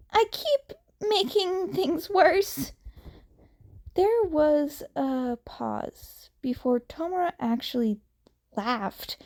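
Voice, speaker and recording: Female story reader: high, soft-toned and unhurried, with animated intonation for audiobook-style narration. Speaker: podfic reader. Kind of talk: fan fiction narration, audiobook-like.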